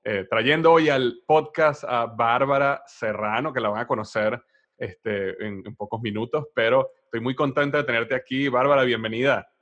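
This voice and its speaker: Podcast host: man, medium pitch, conversational and a bit halting as he frames questions, slightly muffled sound. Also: steady tone, a bit bright. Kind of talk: conversational interview.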